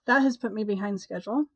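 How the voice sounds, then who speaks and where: A young woman talks to her viewers in a loose, rambling way, her voice stuffy and nasal from a cold. She sits at a middling pitch, and the sound is close and dry with no room around it. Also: a bit bright, slightly rough, no room sound, dull audio. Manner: chatty, rambling, with sighs and laughs. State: congested from a cold. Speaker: vlogger. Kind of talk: casual chat to camera.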